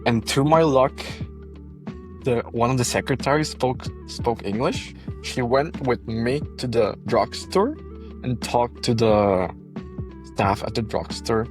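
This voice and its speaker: A man's voice: mid-range voice, slow pace, music in the background. A young man from Costa Rica, a podcast guest, telling his story in casual chat, often repeating words and laughing between thoughts.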